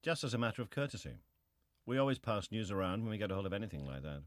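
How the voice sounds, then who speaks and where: A ship's captain on the witness stand, with a low voice recorded dry. He is clipped, restrained and matter-of-fact, in the style of dramatized testimony. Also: big pitch swings, racing, dry recording. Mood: terse, restrained. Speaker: actor reading hearing testimony. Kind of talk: dramatized reading of historical testimony.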